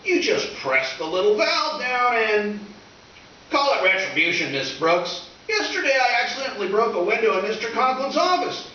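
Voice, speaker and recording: A man's voice: very high voice, bright, forceful tone, full character voice. A man playing a mischievous, grandiose teenage schoolboy with flowery, eager delivery in an old-time radio comedy. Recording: echoey stage from far off, dull, hissy.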